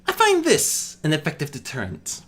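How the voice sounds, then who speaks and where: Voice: mid-range voice, huge pitch swings, normal speed, a bit bright, slightly rough, voice pushed up, full character voice. A performer voicing a gracious, formal princess of the sun, a magical warrior mare, in a storybook reading. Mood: assured. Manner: polite, formal, articulate.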